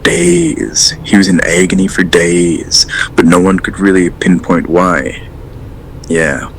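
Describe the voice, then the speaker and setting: A man's voice: deep voice, lively intonation, takes it slowly, gravelly, a little hiss or hum, full character voice, rough recording. A man acting as a hesitant, rambling caller to a late radio show in an audio drama, earnest and unsettled. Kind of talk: audio drama, naturalistic phone-in call.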